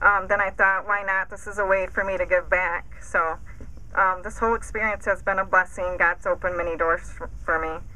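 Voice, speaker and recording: Earnest woman giving bright heartfelt remarks. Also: mid-range voice, steady tone, moderate pace, poor audio. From Holland, Michigan. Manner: earnest, a little nervous, thankful. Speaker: homeowner speaking at an event. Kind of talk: prepared public remarks. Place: outdoors at a house build site.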